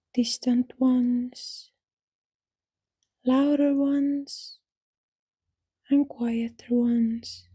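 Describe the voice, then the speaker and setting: A woman with a soft, breathy voice leads a guided yoga nidra relaxation in a soothing, gentle manner, with long pauses between instructions. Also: fairly high pitch. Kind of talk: guided relaxation meditation, scripted.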